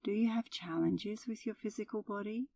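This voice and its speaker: A woman leading a guided meditation as an instructor, with a soothing, gentle delivery. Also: mid-range voice, normal speed, creaky, recorded from a distance.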